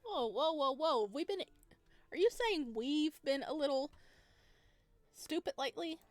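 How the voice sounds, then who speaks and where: Playful, higher-pitched woman streaming a game. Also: lively intonation, measured pace, no echo. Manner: chatty, playful, reads lines aloud. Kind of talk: casual playthrough commentary. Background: game music.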